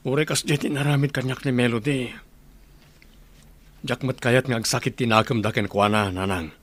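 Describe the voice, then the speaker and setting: Man acting a bright-voiced, gentle family father. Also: mid-range voice, steady tone, moderate pace, natural voice. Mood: earnest, conciliatory. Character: gentle family father and son, conciliatory village masseur. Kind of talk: Filipino radio drama acting.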